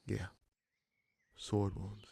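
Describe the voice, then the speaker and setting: Casting: male actor for wounded human bandit leader, lower register, gruff yet kind, halting and reflective, intimate fantasy roleplay addressed to the listener. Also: monotone, dark tone, full character voice. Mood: thoughtful, suspicious. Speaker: audio roleplay performer.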